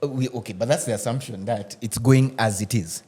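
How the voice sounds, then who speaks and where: A man talking casually on a podcast, telling stories with animation, laughing and restarting as he goes. His voice sits at a middle pitch for a man. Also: a little fast, warm tone, slightly rough. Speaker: actor and podcast guest. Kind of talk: casual roundtable chat. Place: podcast studio.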